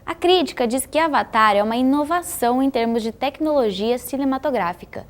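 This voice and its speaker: A woman with a Brazilian accent and a fairly high voice delivers scripted narration. She reads in a measured, informative manner, like the voice-over of a documentary. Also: a little mellow.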